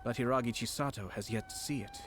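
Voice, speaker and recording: An actor playing a calm, calculating nobleman in a video game, speaking in a measured, formal, deliberate way. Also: medium pitch, natural voice. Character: composed, cunning nobleman, calm and calculating commission head. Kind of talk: scripted video game dialogue. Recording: background music under the voice.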